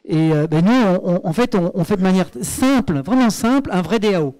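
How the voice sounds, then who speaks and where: A man's voice: high voice, animated, normal speed, a little mellow. A man from Brussels with a Belgian French accent, presenting his startup at a meetup, hesitant with many fillers and then emphatic. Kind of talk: conference presentation.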